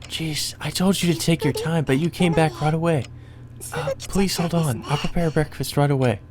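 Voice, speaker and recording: Man voicing a meek feverish young woman, pitched up high. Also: normal speed, a crowd in the background, natural voice. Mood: weak, polite. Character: sick, feverish young woman, meek and stubbornly insisting she's fine.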